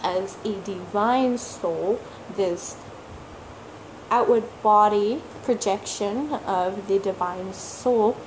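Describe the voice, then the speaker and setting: Slow, deliberate woman speaking English as third language. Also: mid-range voice, slight background noise, rough recording. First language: not English; English is her third language. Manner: repeats words for emphasis, searches for words. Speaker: tarot reader. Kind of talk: slow reflective monologue.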